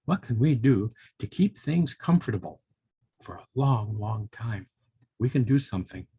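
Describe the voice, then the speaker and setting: Older man with a husky storyteller's voice. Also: mid-range voice, lively intonation, moderate pace, mellow, no echo. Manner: folksy, musing, with interjections like hmm and well. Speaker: volunteer storybook reader for children. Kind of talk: storytelling and chatty talk to young children.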